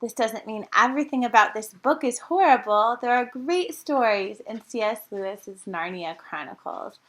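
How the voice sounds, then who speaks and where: A woman from a volunteer staff giving an earnest, slightly halting spoken note to listeners, with a few fillers. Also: a little high, expressive, a bit bright. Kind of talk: informal spoken announcement.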